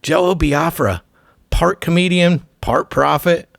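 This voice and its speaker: A man's voice: fairly high pitch, measured pace, creaky, no room sound, studio quality. A man narrating a scripted music documentary in short punchy phrases, with an irreverent, profane edge.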